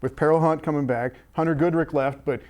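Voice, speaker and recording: A man with a fairly high-pitched voice hosting a sports show. He talks in a conversational way, like studio sports chat. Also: steady tone, a little fast, darkish tone.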